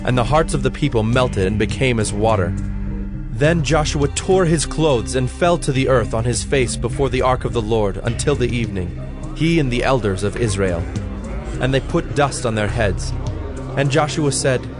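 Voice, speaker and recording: A man's voice: mid-range voice, animated. Solemn male scripture narrator over music. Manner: solemn, measured storytelling.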